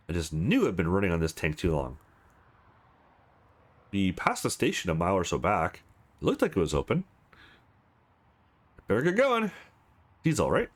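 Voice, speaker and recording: A man's voice: fairly low pitch, heavily acted. A man voicing a streetwise young woman driver in a visual novel, frank, scrappy and matter-of-fact. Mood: exasperated.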